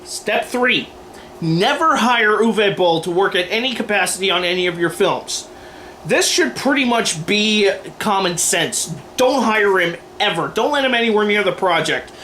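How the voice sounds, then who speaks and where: A man's voice: sits high, expressive, moderate pace, pushed and bright. A man hosting a video, delivering scripted opinion commentary in a sarcastic, emphatic way. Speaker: video host. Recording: noisy room recording.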